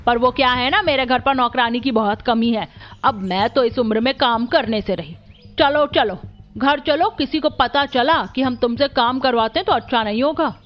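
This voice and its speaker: A woman's voice: fairly high pitch, normal speed, close to an everyday voice. A woman voicing a cruel, bossy middle-aged aunt in a cartoon, sneering and commanding, on a muffled recording with music. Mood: sneering threat. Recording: muffled audio with music behind.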